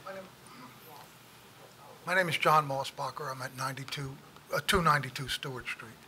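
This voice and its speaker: A man giving a public comment at a town meeting, speaking haltingly with many pauses and fillers. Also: bright, forceful tone, a little hiss or hum. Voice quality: low voice.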